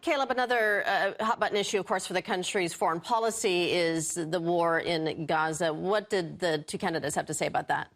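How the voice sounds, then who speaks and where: A woman anchoring a news program, putting crisp questions to a correspondent. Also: lowish voice, brisk, few pauses, a bit bright.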